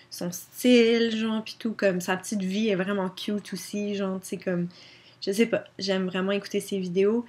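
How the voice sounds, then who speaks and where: A young woman with a Québécois French accent chatting casually to her webcam, answering questions with many fillers. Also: mid-range voice. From Quebec. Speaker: vlogger.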